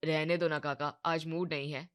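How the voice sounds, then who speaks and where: A worried young shopkeeper man played by a woman speaks in a lowish voice. He sounds hesitant and resigned, torn over his choices, in the dialogue style of an animated moral tale. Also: moderate pace, clean tone, close to an everyday voice. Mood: weary.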